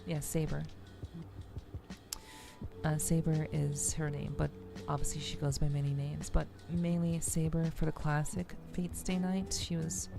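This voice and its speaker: Low-voiced woman streamer chatting casually. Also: level tone, measured pace, vocal fry, over music. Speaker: VTuber streamer. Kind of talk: casual livestream chat.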